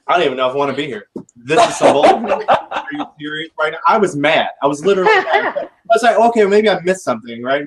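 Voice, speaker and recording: An American man, a military veteran working in recruiting, talking casually on a panel show with many restarts, growing animated when telling a story. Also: a little high, background noise.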